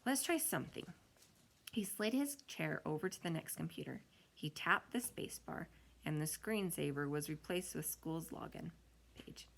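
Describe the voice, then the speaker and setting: A woman reading a children's story aloud like a teacher, with expressive delivery. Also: low-pitched, moderate pace, creaky. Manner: expressive reading with acted-out dialogue.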